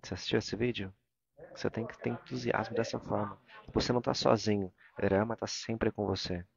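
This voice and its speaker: Brazilian guy translating a religious talk live, halting, gravelly low voice, kinda muffled recording. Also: steady tone. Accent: Brazilian Portuguese. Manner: halting, searching for words and repeating phrases. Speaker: interpreter of a spiritual discourse.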